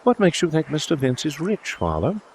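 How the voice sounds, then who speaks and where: A man's voice: a little high, wildly swooping pitch, normal speed, slight background noise, pitched above the performer's own voice. A man voicing a proud, direct young woman who speaks emotionally to her father in a storybook reading. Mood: blunt, accusing. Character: proud, blunt young Englishwoman confronting her father, distressed.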